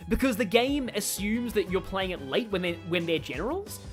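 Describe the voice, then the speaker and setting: A woman's voice: medium pitch, expressive, normal speed, soft background music. A woman live streaming a game, thinking aloud in a rambling, opinionated way as she chats with viewers. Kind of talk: casual gaming commentary, chatting with viewers.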